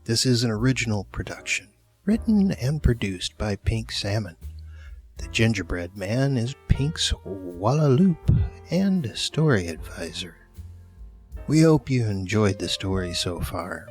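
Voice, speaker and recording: Low-voiced man reading show credits. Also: big pitch swings, measured pace, a little mellow, soft background music. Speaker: host reading end credits. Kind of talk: casual scripted outro.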